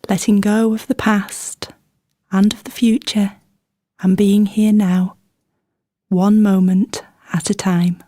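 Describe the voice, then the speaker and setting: A woman leading a guided meditation in a gentle, soothing manner, with scripted instructions and unhurried pauses between phrases. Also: medium pitch, little pitch movement, dry recording.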